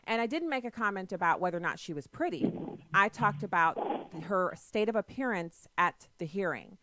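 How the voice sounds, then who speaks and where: A woman's voice: mid-range voice, animated, background noise. A woman hosting a political call-in radio show, pressing her caller with pointed questions. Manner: assertive, argumentative, quick to press questions. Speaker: radio talk show host.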